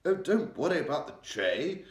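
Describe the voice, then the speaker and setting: A regal old queen with a refined, upper-class way of speaking, put on by a male narrator. The voice sits high, swoops about and breaks into gasping exclamations. The recording has a roomy echo. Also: very animated, moderate pace. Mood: alarmed, regal. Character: elderly queen, regal, shaken by a nightmare.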